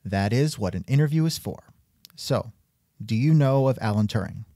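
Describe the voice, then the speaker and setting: Sardonic male comedy host, animated intonation, dry close mic. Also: medium pitch, moderate pace, no echo. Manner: sardonic, exasperated. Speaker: podcast host. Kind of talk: scripted comedic interview.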